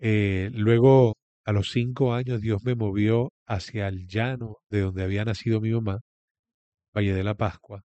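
A man tells his story of faith in an interview, earnest and preacherly. His voice sits low and mellow. The sound is dry and a little dull. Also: fairly even intonation, moderate pace, no room sound. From Venezuela. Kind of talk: interview testimony.

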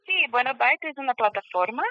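A woman from Brazil with a Brazilian Portuguese accent, a phone guest speaking halting Spanish as a learner, stopping to search for words. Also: fairly high pitch, animated, fairly bright tone, no room sound, dull audio. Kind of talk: phone interview. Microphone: phone line.

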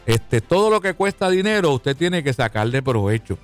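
A man's voice: sing-song, normal speed. A man hosting a live radio talk show, folksy and joking, often calling listeners "papá". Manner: jokey, folksy, calls listeners "papá", swings pitch for emphasis.

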